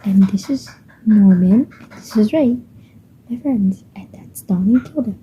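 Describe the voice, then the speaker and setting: Woman voicing a perky little girl, chatty, unhurried, dull lo-fi sound. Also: mid-range voice, warm tone, a little hiss or hum, natural voice. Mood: bubbly. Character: cheerful eager eleven-year-old girl. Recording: dull muffled home recording.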